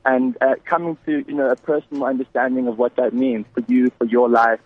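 A man's voice: mid-range voice, flat delivery, brisk, no echo. Hesitant male caller on a phone line. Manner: hesitant, many fillers and restarts. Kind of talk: phone-in talk radio. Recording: tinny dry phone line.

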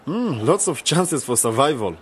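An actor playing an eager, enterprising young man in a radio drama, excitable and persuasive. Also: medium pitch, moderate pace, slight background noise, lightly acted. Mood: puzzled, joking.

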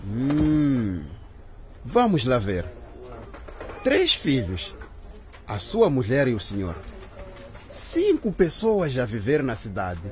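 A man's voice: medium pitch, huge pitch swings, slow, pauses often, a little mellow. A man acting in a radio drama as an intimidating police interrogator with a sarcastic, probing manner. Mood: sly, probing.

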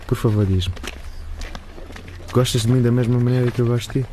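A man's voice: medium pitch, fairly even intonation, fairly quick, mellow, a little hiss or hum, lightly acted. An actor playing an earnest, insistent young man in love in a radio drama, pressing and intimate.

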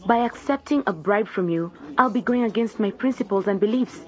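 A woman's voice: mid-range voice, fairly quick, darkish tone, highs cut off, natural voice. Actress as firm, principled young woman. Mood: firm, defiant. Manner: polite but firm. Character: principled, defiant young woman political candidate. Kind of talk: radio drama acting.

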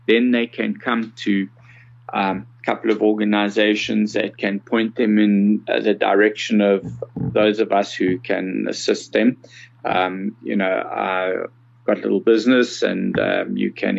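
A South African man in his sixties with a lowish, gravelly voice. He explains things in a measured, conversational way as an interview guest. The recording is slightly dull and muffled. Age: 60s. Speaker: interview guest, accessibility consultant.

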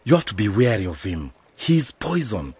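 A very husky middle-aged village uncle in a radio drama. He is wary and protective, and his pitch swings widely as he presses each point. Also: big pitch swings, moderate pace, darkish tone, no echo, heavily acted. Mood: alarmed warning.

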